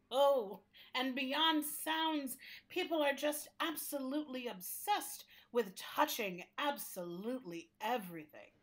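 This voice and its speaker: A woman playing a talking library building in a radio play, gentle but fussy, with a confiding, exasperated manner. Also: sits high, slow pace. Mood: wistful, fussy. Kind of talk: radio play acting in interview form.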